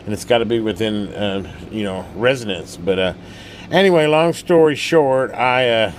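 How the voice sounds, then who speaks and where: A man with a fairly low voice talks in a colloquial, folksy manner. He rambles casually as he demonstrates hobby repair work, with a faint layer of noise behind him. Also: normal speed. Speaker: radio repair hobbyist and channel host. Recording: steady background hum and noise.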